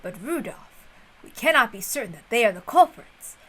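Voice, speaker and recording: A woman's voice: fairly high pitch, sing-song, normal speed, fairly bright tone. Woman reading as hesitant, defensive elder brother. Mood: cautious. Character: hesitant, defensive middle-aged elder brother, proud family man.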